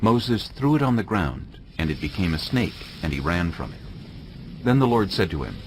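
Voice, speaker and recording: A man's voice: low voice, very animated, normal speed, background noise. A man narrating a dramatized scripture reading in a scripted storytelling style.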